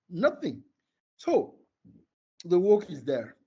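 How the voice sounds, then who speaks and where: An older man from Senegal, a native Wolof speaker with a Senegalese accent, giving emphatic, opinionated interview answers in a husky voice and repeating words for stress. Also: high voice, huge pitch swings, very slow, warm tone. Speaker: interview guest, former sports marketing executive. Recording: dull, lo-fi call audio.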